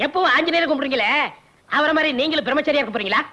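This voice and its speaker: A man's voice: very high voice, lively intonation, rapid-fire, pushed and bright, exaggerated voice. An actor playing a cheeky, wisecracking man who works as a doctor's compounder and teases his boss. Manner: teasing, cheeky.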